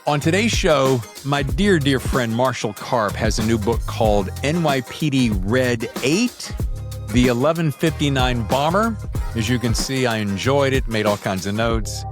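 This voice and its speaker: Man, casual chatty host, animated intonation, jokey, close standalone mic, background music. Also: mid-range voice, measured pace. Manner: jokey, informal, mildly profane. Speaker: podcast host. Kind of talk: casual, chatty show introduction and interview. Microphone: standalone microphone.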